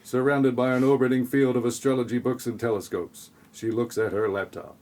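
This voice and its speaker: A man narrating a scripted audio play in a tongue-in-cheek, deadpan way with sarcastic asides. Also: medium pitch, fairly quick.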